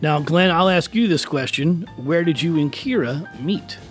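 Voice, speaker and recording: A man hosting a podcast, asking guests friendly, brisk questions. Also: a little high, animated, soft background music. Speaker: podcast host. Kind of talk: interviewing guests.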